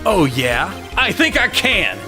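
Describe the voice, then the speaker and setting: A man's voice: high voice, very animated, takes it slowly, full character voice. A man voicing an earnest young male defense lawyer, determined and pressing. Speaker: defense attorney. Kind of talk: fan dub of game dialogue. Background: game music.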